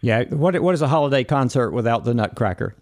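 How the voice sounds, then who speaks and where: A man hosting a morning broadcast interview, speaking in a smooth, measured way with a few hesitant fillers. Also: mid-range voice, expressive, darkish tone. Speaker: morning show host.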